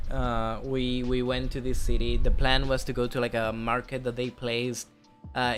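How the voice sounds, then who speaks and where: A man's voice: mid-range voice, fairly bright tone. A young man with a slight Spanish accent, chatting casually as a streamer about a trip, rambling, correcting himself and swearing now and then. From Spain.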